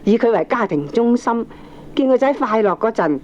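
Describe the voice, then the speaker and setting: An actress with a Hong Kong accent playing an opinionated middle-aged aunt, lecturing firmly and emphatically in a radio drama. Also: female voice, fairly high pitch, animated, moderate pace, darkish tone, close to an everyday voice. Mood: lecturing, critical. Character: opinionated aunt, firm, preachy family adviser. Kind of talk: Cantonese radio drama acting. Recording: dull, slightly noisy old cassette recording.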